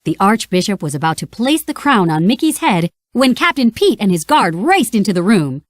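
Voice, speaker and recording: A woman narrates a children's read-along storybook with expressive energy. Her voice moves up and down freely through each sentence. The recording is dry and close, with no room around it. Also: medium pitch, moderate pace, no echo. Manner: animated, expressive.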